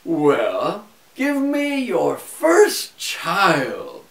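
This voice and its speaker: A man voicing a funny, sly little man in a fairy tale, with a high shrill tone. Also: wildly swooping pitch, drawn-out words, vocal fry, fairly bright tone, exaggerated voice. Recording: echoey room, far from mic.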